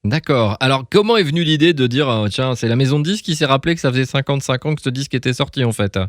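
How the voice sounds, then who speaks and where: A man's voice: mid-range voice, lively intonation, rapid-fire, no room sound. Informal male radio interviewer, dry sound. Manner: informal, familiar address. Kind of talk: casual, familiar radio interview. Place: radio show.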